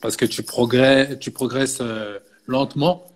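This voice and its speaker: A man in his early thirties from Belgium speaks at an ordinary middle pitch. He talks in a loose, conversational way, often restarting phrases and tagging them with a casual "you see". He sounds like a coach telling his story to a friend. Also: a little slow. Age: 30s. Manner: relaxed, frequent filler "tu vois" and restarts. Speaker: personal fitness coach. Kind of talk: casual interview talk.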